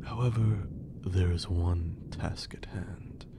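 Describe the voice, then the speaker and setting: Guy doing a haughty old vampire lord for asmr, really low and slow, condescending and kinda menacing. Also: steady tone, heavy background noise. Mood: aloof, intrigued. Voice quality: breathy, creaky low voice. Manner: slow, condescending, theatrical, archaic wording. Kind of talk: whispered ASMR roleplay.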